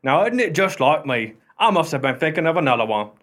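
An actor playing an irreverent, wisecracking working-class porter in a radio play, with a chatty, teasing manner. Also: male voice, a little high, very animated, a little fast, fairly bright tone. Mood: glib, obliging.

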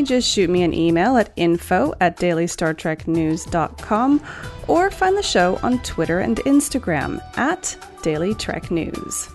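A woman's voice: medium pitch, a little mellow. A woman hosting a podcast, reading scripted entertainment news. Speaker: podcast host and newsreader.

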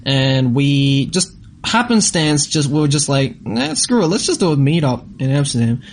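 A man's voice: a little high, sing-song, normal speed, a little hiss or hum. Man chatting casually as podcast co-host. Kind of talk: casual chat about travel.

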